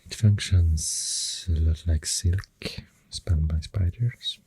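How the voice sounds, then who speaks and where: A soft-spoken ASMR creator with a Swedish accent, rambling in a breathy, husky near-whisper with hesitant pauses, close to the microphone. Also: low-pitched, steady tone, dry recording. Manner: slow, hesitant, pausing between phrases.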